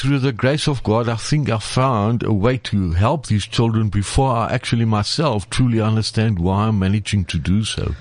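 Low-voiced man explaining. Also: a little mellow, no room sound. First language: Afrikaans. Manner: explanatory, lecturing at length. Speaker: professor, guest expert. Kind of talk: conversational interview.

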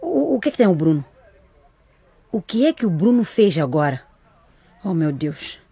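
An actress playing an anxious, superstitious mother in a radio drama, flustered and pleading, with a husky voice. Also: fairly low pitch, big pitch swings, moderate pace, dark tone, no echo, heavily acted. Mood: fretful. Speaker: mother of Maria and Bruno.

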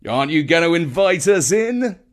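A man's voice: on the high side, very animated, moderate pace, voice pushed up. A man voicing a breezy, self-assured uncle in a children's story, with a drawling, emphatic, chatty way of talking. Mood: breezy, pleased. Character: breezy, charmed, self-assured younger or middle-aged uncle.

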